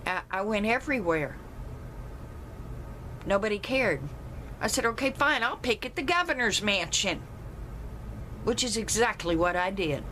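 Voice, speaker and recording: A woman with a loud, carrying voice, telling a personal story emphatically. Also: sing-song, unhurried, pauses often, a little hiss or hum. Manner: emphatic, rises in pitch when retelling her protest.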